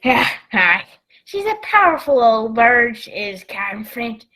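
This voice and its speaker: A sly old one-legged ship's cook played by a woman in a radio play. The voice is high, sharp and very lively in pitch, with a folksy drawl. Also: a little slow, heavily acted, poor audio. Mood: jovial, coaxing.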